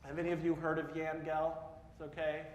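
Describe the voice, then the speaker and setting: A Midwestern man in his forties gives a conference talk from the stage, casual and self-deprecating, checking in with the crowd as he goes. His voice sits a touch high for a man. The sound is roomy with a long hall reverb and a faint hiss underneath. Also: completely flat delivery, fairly quick. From Peoria, Illinois (US Midwest). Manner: casual, self-deprecating, asks the audience questions. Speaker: speaker at a public talk. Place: large hall in front of an audience. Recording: echoey hall with faint noise.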